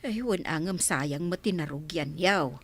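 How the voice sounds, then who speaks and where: A woman's voice: sits low, a little slow. A woman playing a worried, reproachful middle-aged mother in a radio drama.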